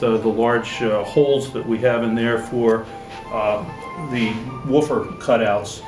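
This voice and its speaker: Halting man in an echoey room. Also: mid-range voice. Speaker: loudspeaker maker. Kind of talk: product explanation in an interview. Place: exhibit room at an audio show. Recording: echoey room, music behind.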